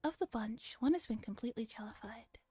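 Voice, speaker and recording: A woman streamer with a soft, often whispery voice, reading a visual novel in ASMR style with casual comments. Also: a little high, a little fast, breathy edge, no room sound.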